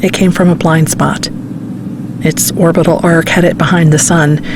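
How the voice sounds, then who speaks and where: A woman's voice: low-pitched, little pitch movement, moderate pace. A somber tired scientist giving bad news, suspense audio drama, car noise behind her. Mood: somber, resigned. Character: grave, weary female scientist delivering dire news. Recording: noisy car ambience.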